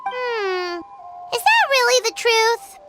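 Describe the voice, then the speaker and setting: A woman voicing an excitable little companion sprite who chatters eagerly. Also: very high-pitched, slow pace, exaggerated voice. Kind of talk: game voice acting. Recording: game audio with loud music behind.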